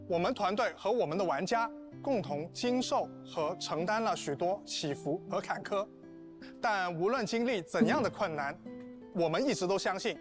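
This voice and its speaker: A man hosting a game broadcast, presenting formally on behalf of the publishing team. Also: high voice. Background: soft guitar music.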